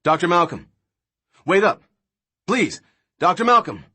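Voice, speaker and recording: A man's voice: high-pitched, big pitch swings, measured pace, pushed and bright, husky, pitched above the performer's own voice, full character voice. A man voicing a pushy, eager male academic in an audiobook, speaking with earnest insistence. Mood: urgent calling.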